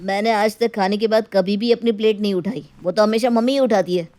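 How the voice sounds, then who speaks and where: A woman's voice: mid-range voice, brisk, mellow, natural voice. A woman voicing a selfish, irritable young husband with a brusque, dismissive way of talking in a story.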